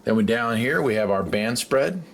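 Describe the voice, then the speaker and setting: A man giving a casual hands-on demonstration as a hobbyist, unhurried and thinking aloud. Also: mid-range voice, expressive. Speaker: radio hobbyist presenter. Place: indoors beside a shortwave receiver. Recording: clear background noise, radio static.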